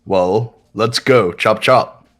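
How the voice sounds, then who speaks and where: A man voices a haughty young genius with a low-pitched voice. He talks formally and gives orders with smug condescension, in the manner of a video game rival, with music playing underneath. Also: slow. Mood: bossy, smug. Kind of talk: amateur voice acting in a game playthrough.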